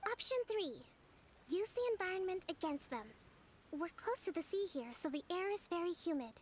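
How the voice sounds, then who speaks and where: Calm young priestess strategist. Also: female voice, very high-pitched, a little hiss or hum. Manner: composed, polite, measured. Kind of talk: video game voice acting. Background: faint game music.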